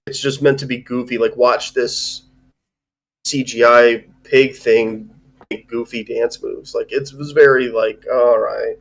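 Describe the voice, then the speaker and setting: A man talking casually as a host reviewing a film, rambling and halting, with a loose, sweary way of speaking. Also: medium pitch, steady tone, vocal fry, poor audio. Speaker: movie review show host. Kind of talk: casual chat, film discussion.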